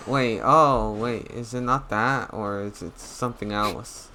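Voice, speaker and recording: A man's voice: lowish voice, measured pace, pushed and bright, a little hiss or hum. A man giving casual gameplay commentary, hesitant and thinking aloud. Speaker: game streamer.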